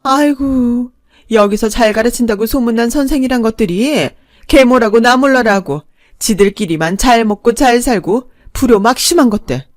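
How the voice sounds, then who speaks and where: Woman putting on a shrill, nagging old stepmother-in-law. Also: animated, normal speed, natural voice. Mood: wailing. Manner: nagging, wailing, self-pitying. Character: shrill pushy elderly stepmother-in-law, shameless.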